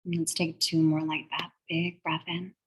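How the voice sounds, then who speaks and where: A woman leading a guided meditation in a soothing, measured, breathy way, leaving long pauses between phrases. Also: low-pitched, flat delivery. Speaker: guided meditation and yoga teacher.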